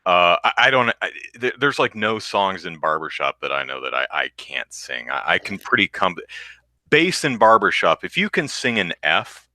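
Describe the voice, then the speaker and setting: A man with a deep bass voice, co-hosting a podcast in casual chat, rambling with lots of restarts. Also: big pitch swings, normal speed, pushed and bright, no room sound. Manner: rambling, self-interrupting with restarts, sings low demo notes. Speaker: podcast co-host.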